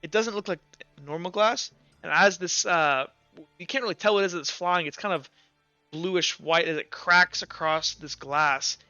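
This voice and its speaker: A man working as game master, improvising tabletop roleplay narration in informal, halting pieces. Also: high voice, sharp tone, clear, resonant tone, no room sound. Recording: slightly dull audio, music underneath. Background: music.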